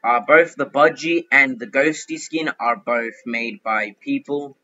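A young man talks his way through an online game in a chatty, excitable manner, pleading and fretting out loud. His voice is bright and sharp. The recording is dull and lo-fi, like a cheap desktop mic. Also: mid-range voice, a little slow, faint music behind. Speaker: gaming YouTuber. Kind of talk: casual gameplay commentary.

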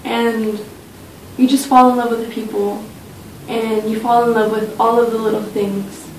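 A woman's voice: little pitch movement, normal speed, darkish tone. Young woman in an echoey, distant recording. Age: young adult. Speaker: study abroad student. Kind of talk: casual interview testimony, reminiscing. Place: small office meeting room, indoors. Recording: roomy, distant mic, faint low rumble.

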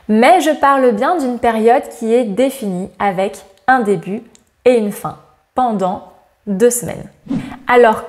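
A woman in her thirties giving a scripted grammar lesson to camera in a bright indoor room, lively and smiling, slowing down to stress her examples. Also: a little high. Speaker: French teacher. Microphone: lapel mic.

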